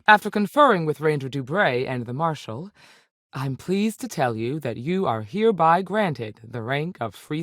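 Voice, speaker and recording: A woman's voice: very deep voice, no echo, clean studio sound, full character voice. A woman playing a seasoned, firm but fair space ranger in a video game, plainspoken and authoritative, with folksy turns of phrase. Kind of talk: video game character dialogue.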